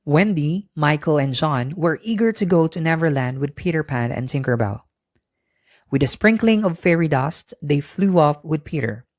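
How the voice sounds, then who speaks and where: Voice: mid-range voice, animated, moderate pace, no echo, clean studio sound. A storyteller narrating a scripted bedtime story for children.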